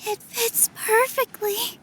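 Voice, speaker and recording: A woman voicing a gentle, polite, earnest young girl in a video game. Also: extremely high pitch, steady tone, slow, full character voice. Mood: delighted. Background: light background music.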